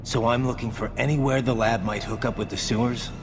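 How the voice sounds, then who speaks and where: A man's voice: mid-range voice, fairly even intonation, brisk, close to an everyday voice. A man playing a tough, wry American cyborg ninja soldier in a video game. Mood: businesslike, wry.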